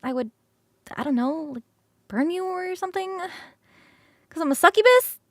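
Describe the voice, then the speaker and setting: A woman voicing a young succubus roommate in an ASMR roleplay, casual, animated, chatty and affectionate. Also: very high voice, moderate pace, no echo, clean studio sound, pitched above the performer's own voice. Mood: concerned.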